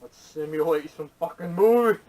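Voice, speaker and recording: A man's voice: fairly high pitch, normal speed, a little mellow. A man co-hosting a podcast in casual, sarcastic banter with plenty of swearing and teasing, caught on a camera microphone. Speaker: podcast co-host. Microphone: camera audio from a distance. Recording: distant camera microphone, poor audio.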